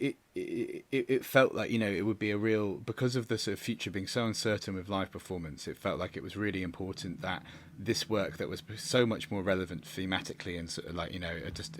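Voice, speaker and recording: A man giving a reflective interview answer as a theatre director, rambling and often restarting his phrases. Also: low-pitched. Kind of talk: reflective interview answer over video call. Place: remote online call.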